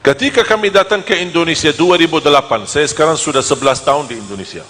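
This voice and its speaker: A man from Medina, preaching to a live audience in a lively, joking storytelling manner. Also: fairly high pitch, fast talker, big-room sound. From Medina, Saudi Arabia. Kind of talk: humorous sermon to a live audience.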